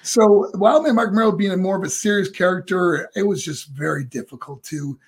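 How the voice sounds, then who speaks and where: A middle-aged man with a raspy voice, a guest and former wrestler rambling through stories with lots of fillers. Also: high-pitched, a little fast. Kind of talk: casual interview storytelling.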